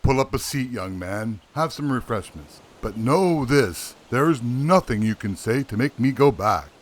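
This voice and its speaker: A man's voice: medium pitch, lively intonation, unhurried. A man playing a jolly, stubborn old Santa Claus in a comedy audio drama, with a grand, theatrical manner. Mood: gruff stubbornness.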